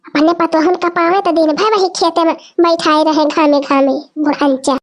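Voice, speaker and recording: Woman voicing a very high-pitched scolding old village mother. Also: steady tone, moderate pace. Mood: chatty, agreeable. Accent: Awadhi. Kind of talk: rural cartoon comedy dialogue.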